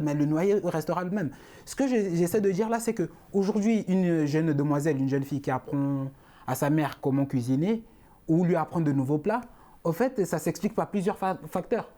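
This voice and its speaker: A man's voice: on the high side, warm tone. Young man from Congo explaining points. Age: young adult. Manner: explanatory, repeats points, frequent fillers. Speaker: panel guest. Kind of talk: panel discussion.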